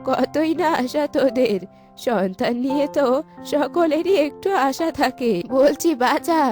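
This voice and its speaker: A woman voicing a kindly old village aunt in a cartoon, with slow, drawn-out, sympathetic speech. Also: high-pitched, darkish tone. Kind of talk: cartoon voice acting. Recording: music behind the voice.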